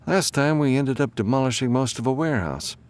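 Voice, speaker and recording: A brash woman mercenary in a storybook , acted out by a male narrator. She is cocky and breezy, needling and impatient, with a raised, very animated pitch. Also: a little fast, lightly acted.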